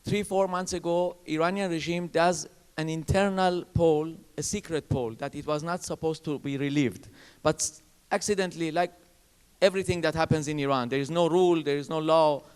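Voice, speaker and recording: Panelist, man, English, emphatic, somewhat high voice with a smooth, resonant tone. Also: fairly even intonation, a little mellow. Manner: emphatic, repetitive, halting phrasing. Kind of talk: panel discussion. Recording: reverberant conference hall.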